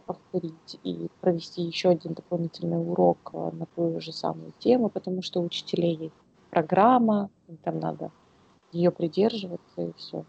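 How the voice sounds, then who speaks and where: A woman from Ukraine co-hosting a casual podcast, speaking in a halting, searching way. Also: fairly low pitch, fairly even intonation, creaky, mellow, husky, dull audio. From Kyiv, Ukraine. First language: Russian. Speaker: podcast co-host.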